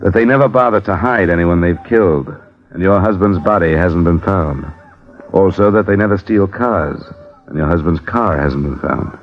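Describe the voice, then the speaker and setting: An actor playing a cool, probing American insurance investigator in an old-time radio drama, clipped and matter-of-fact. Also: male voice, low-pitched, moderate pace, close to an everyday voice. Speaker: lead actor and narrator. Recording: muffled vintage radio, music behind.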